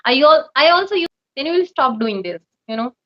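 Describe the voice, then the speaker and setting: Teacher lady, high voice, earnest advice, kinda dull muffled audio. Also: sing-song, fairly quick. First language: not English. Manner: earnest, advice-giving, laughs often. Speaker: online English teacher. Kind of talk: conversation practice chat.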